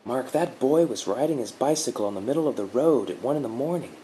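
Man doing a silky female dark guardian. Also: mid-range voice, animated, fast talker, a little hiss or hum, pitched above the performer's own voice, lightly acted. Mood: reassuring. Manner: soothing, gently mocking. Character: sweet-talking female dark guardian spirit.

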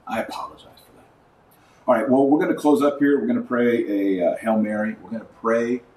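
Deep-voiced man preaching, echoey distant mic. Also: darkish tone. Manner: earnest, emphatic, repeating phrases for rhetorical effect. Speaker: podcast host and religious commentator. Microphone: wrong microphone picking up from a distance. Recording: echoey room, distant wrong microphone, faint noise.

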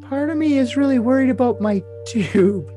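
Clingy, girlish young woman, played by a man, very high voice, cutesy and melodramatic podcast reading. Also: normal speed, music in the background. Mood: pleading. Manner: cutesy, theatrical, overly dramatic. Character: girlish, clingy, babyish young woman texting her boyfriend. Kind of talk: overly dramatic reading of text messages.

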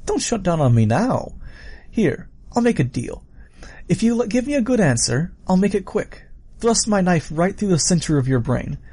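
Guy doing a smug sadistic villain for an audiobook, higher pitched, taunting and theatrical, loves his own menace. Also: very animated, moderate pace, warm tone, husky, exaggerated voice. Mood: coaxing threat. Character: sadistic, playful adult male killer, theatrically menacing villain.

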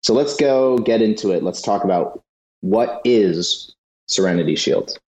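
A man hosting a live audio panel, moderating guests in a brisk, upbeat way. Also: fairly low pitch, lively intonation, darkish tone, echoey room, low-quality recording. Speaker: podcast host.

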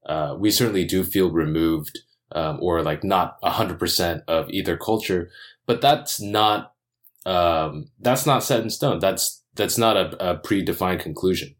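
Man, deep voice, earnest, hesitant delivery, casual discussion. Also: measured pace.

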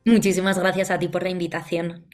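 A woman's voice: on the low side, flat delivery, brisk, soft background music. A woman in her thirties from Valencia, telling her story as a podcast guest in a chatty way, full of fillers and tag questions. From Valencia, Spain. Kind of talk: conversational storytelling.

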